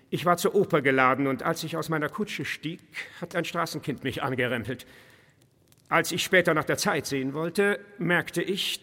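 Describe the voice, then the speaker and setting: An actor playing a haughty English lord in a radio play, formally polite and condescending. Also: male voice, on the high side, gravelly, fairly bright tone, heavily acted. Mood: stiffly courteous. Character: haughty, indignant English lord, stiffly courteous. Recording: long reverberant hall echo.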